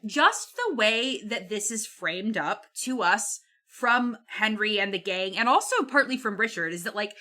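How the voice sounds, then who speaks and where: A woman's voice: medium pitch, lively intonation, measured pace, few pauses, pushed and bright. A woman chatting casually and animatedly about a book, blunt, emphatic and freely swearing. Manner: blunt, profane, emphatic, acts out quotes colloquially. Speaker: podcast co-host.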